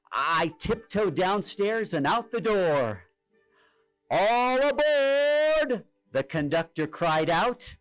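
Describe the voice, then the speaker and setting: A man narrates a children's storybook with expressive drama. His fairly high voice swings widely in pitch and takes its time, with music playing behind it. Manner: expressive, dramatic read-aloud delivery. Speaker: storybook reader. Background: music and sound effects.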